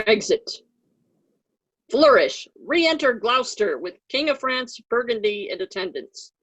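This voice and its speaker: A woman's voice: on the high side, animated, a little slow, fairly bright tone, poor audio. A woman reading stage directions as scripted narration for a play.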